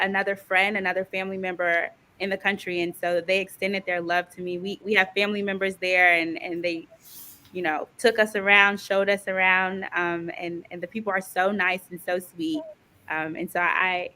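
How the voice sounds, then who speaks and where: An American woman speaking as a guest, sharing her travel experience, soft-spoken with frequent ums and you knows. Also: fairly low pitch. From USA. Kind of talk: casual interview reflection.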